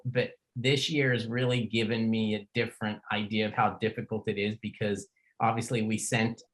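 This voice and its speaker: A man's voice: fairly low pitch, low-quality recording. A middle-aged man hosting a casual conversational interview in an informal, chatty, plain-spoken way. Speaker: podcast host.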